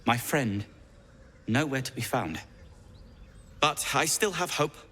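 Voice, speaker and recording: A man voicing a proud, sardonic, weary deep gnome in a video game, with dry, formal phrasing. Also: lively intonation, slow pace, sharp tone, heavily acted. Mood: somber hope.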